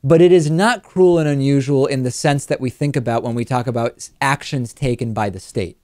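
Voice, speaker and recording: A man hosting a conversational political talk show, reasoning aloud in a measured way. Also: medium pitch, warm tone, no echo, clean studio sound. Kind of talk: conversational political talk, reading listener questions.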